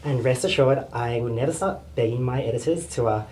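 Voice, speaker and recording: Soft-spoken woman, very low voice. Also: heavy background noise. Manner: soft-spoken, halting with fillers. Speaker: award recipient. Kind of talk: acceptance speech. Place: event venue, large room. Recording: echoey room recording.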